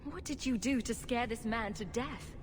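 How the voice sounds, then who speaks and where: A woman's voice: a little high, normal speed, close to an everyday voice. A woman playing a wry, direct rogue adventurer in a video game cutscene, with a sardonic way of talking. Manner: sarcastic, direct. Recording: noisy game ambience behind.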